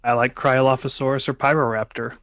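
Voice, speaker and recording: A man's voice: medium pitch, fairly even intonation, brisk, a bit bright, clear, resonant tone. A man taking part in a casual livestream chat over a group video call. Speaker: livestream participant.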